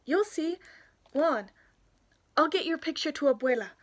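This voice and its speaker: A woman's voice: sits high, expressive, measured pace, voice pushed up. A woman voicing an eager, bossy young girl who calls out urgently in a storybook. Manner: urgent, calling out.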